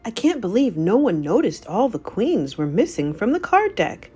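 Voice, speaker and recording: A woman's voice: mid-range voice, big pitch swings, normal speed, darkish tone, slightly rough, voice pushed up. A woman voicing a brainy, eager young woman detective from a cartoon in a storybook reading, earnest and exclamatory. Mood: puzzled.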